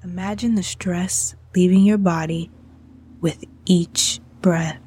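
Slow, soft-toned woman guiding meditation. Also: on the low side, steady tone. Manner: soothing, gentle, breathy phrasing. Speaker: meditation guide. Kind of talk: guided meditation narration.

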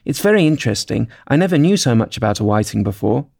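A man's voice: medium pitch, sing-song, fast talker, vocal fry, darkish tone. A man voicing a polite, curious and timid young girl in a storybook, with a wondering manner.

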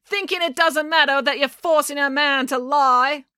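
A woman's voice: fairly high pitch, animated, normal speed, a bit bright, no room sound, studio quality, pitched above the performer's own voice. A woman voicing a brash, sarcastic young girl in a children's audiobook, blunt and scornful. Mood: heckling.

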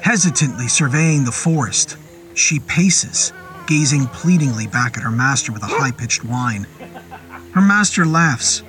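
A man tells a scripted horror tale as a podcast narrator. His delivery is deliberate, literary and descriptive, at a medium pitch, with music and sound effects behind him. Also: expressive, a bit bright, poor audio. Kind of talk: scripted dramatic story narration. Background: music and rain sound effects.

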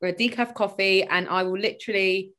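A woman's voice: mid-range voice, steady tone, fairly quick, fairly bright tone. A woman, a spiritual coach chatting as a podcast guest over a video call, rambling and full of fillers. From born in Sweden, grew up in London. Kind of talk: casual conversational interview.

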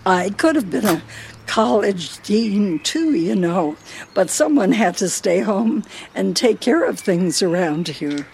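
Woman acting a slow-talking, feisty elderly wife. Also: medium pitch, animated, gravelly, a little mellow, a little hiss or hum. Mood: playful, defiant. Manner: teasing, needling, theatrical. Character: feisty elderly wife, teasing, chatty homemaker and hostess. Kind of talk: radio play dialogue.

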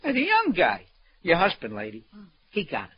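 High-pitched, wisecracking Broadway auctioneer. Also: male voice, huge pitch swings, moderate pace, slight background noise. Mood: wry, animated. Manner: colloquial slang, calls people sweetheart. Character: slangy, wisecracking Broadway auctioneer, streetwise man. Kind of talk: 1940s radio crime drama acting. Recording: dull, lo-fi old radio recording.

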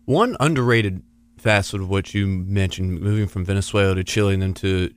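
A man's voice: sits low, brisk. A man hosting an interview, asking a guest curious questions about her childhood and education. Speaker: podcast host.

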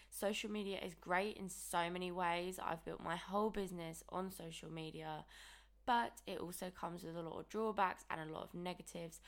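A woman, hosting a casual solo podcast with a croaky early-morning voice. She talks candidly and chattily, with lots of "like" and "okay". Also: lowish voice, fairly bright tone. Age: 20s. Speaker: podcast host and coach.

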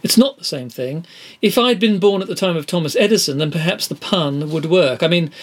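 A man's voice: a little high, expressive, brisk. An older man interviewing in a scripted comedy dialogue, with a prim, pedantic manner.

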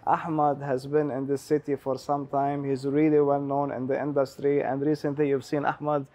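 Guy hosting a chat show, pretty flat voice, cuts in with quick remarks. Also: mid-range voice. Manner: upbeat presenter, quick interjections. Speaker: show host. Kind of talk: casual interview.

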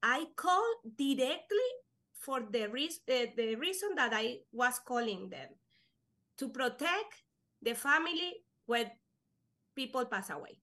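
A young woman whose first language is Spanish, talking earnestly in halting English with fillers as an interview guest. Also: sits high, expressive, sharp tone. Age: young adult. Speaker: guest, life insurance agent. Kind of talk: casual interview chat.

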